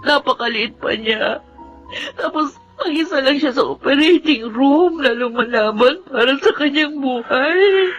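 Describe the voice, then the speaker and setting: A woman's voice: high-pitched, a little slow, lo-fi sound, faint music behind. A woman playing a loving, anxious young mother in a Filipino radio drama, tender and emotional. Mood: tearful, pleading.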